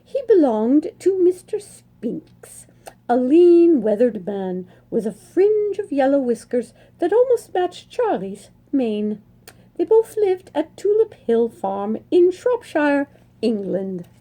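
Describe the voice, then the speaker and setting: A woman reads a picture book aloud to children, taking her time over each phrase. Her voice is soft and mellow, with no edge to it. She talks in a lively, sing-song way, as librarians do at story time. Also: high voice. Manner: slow, expressive storytelling.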